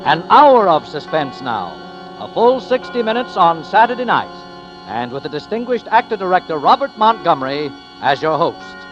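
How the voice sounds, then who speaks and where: Dramatic high-ish radio announcer, man. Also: sing-song, moderate pace. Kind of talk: formal program announcement. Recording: dull old radio broadcast with music.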